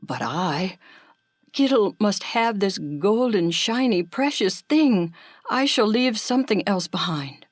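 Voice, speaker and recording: A woman's voice: mid-range voice, lively intonation, measured pace, dry recording, studio quality, voice pushed up. A woman voicing an obsessive, impulsive little male animal who hoards trinkets in a storybook, insistent and repetitive.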